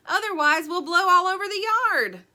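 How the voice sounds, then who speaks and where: A woman's voice: a little high, brisk, sharp tone. A woman voicing a self-assured, argumentative young female chicken in a storybook, emphatic and dismissive. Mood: urgent. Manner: emphatic, dismissive, know-it-all.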